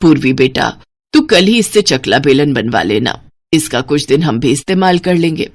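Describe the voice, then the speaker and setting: A woman's voice: low voice, lively intonation, normal speed, pitched below the performer's own voice. A woman playing an affectionate, practical mother of a grown daughter in a Hindi cartoon story.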